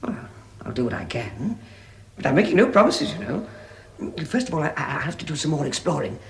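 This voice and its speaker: An actor playing a modest, flustered hobbit, polite, anxious and hesitant, in a vintage radio drama. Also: male voice, on the high side, sing-song, brisk. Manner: stammering, hesitant.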